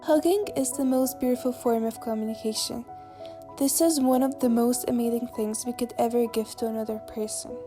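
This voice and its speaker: Higher-voiced woman narrating over music. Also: steady tone, fairly quick. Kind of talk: scripted narration.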